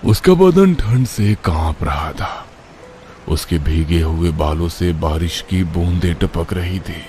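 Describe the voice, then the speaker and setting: A man's voice: low voice, expressive, slow pace, darkish tone, faint music behind. A man narrating a scripted horror story in a dramatic, suspenseful way.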